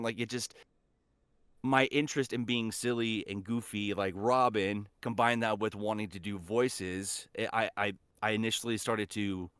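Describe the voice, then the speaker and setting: A man's voice: on the low side, fairly bright tone, clean tone, dry recording. A man chatting casually as a voice actor guest on a podcast, speaking in an enthusiastic, earnest way.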